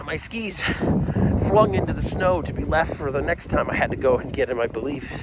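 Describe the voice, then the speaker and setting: A middle-aged American man with a slightly high voice tells a story casually, wandering into self-deprecating comic asides. He is recorded amid clearly audible outdoor noise, with vehicles in the ambience. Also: expressive, brisk.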